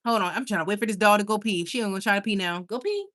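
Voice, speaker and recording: Bubbly woman with a bright voice. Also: medium pitch, very fast. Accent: Caribbean Spanish. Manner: chatty, bubbly, squeals with excitement, coos to her dog. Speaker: livestream host. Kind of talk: casual live chat reading viewer comments.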